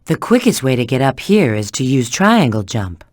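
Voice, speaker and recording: A cool, flirty female bat treasure hunter from a video game. She gives knowing instructions in a very lively, swooping delivery. The sound is close and dry. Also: very deep voice, moderate pace, dry recording, heavily acted. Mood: cool, instructive. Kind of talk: video game character voice acting.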